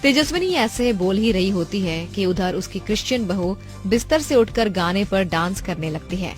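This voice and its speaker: A woman's voice: medium pitch, lively intonation, moderate pace, faint music behind. A woman reading scripted story narration as the narrator.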